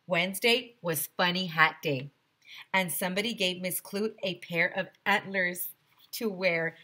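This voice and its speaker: A woman's voice: on the low side, measured pace, bright, forceful tone. A woman teacher reading a children's story aloud expressively, with chatty asides to young listeners.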